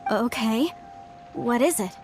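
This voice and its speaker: Earnest young archaeologist girl in a game, high voice, kinda emotional, loud music. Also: slow pace. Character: earnest young woman archaeologist devoted to the God of Salt. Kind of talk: game voice acting.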